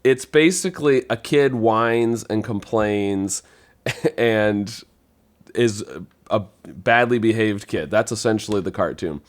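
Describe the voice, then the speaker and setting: A man's voice: on the low side, takes it slowly. A man chatting casually as a panelist on a podcast.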